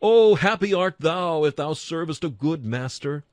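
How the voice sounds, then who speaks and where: A man's voice: fairly high pitch, animated, a little slow, a little mellow, no echo. Solemn man reading a sermon, dry sound. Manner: solemn, exhortatory, rhetorical. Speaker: narrator reading a historical sermon. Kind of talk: dramatic read-aloud sermon in archaic English.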